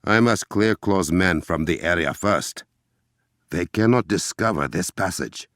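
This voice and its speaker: A man playing a noble, resolute warrior king in a video game, with an African accent, a deep gravelly voice and formal, measured diction. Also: expressive, fairly bright tone, dry recording, clean studio sound, exaggerated voice. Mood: measured, confident.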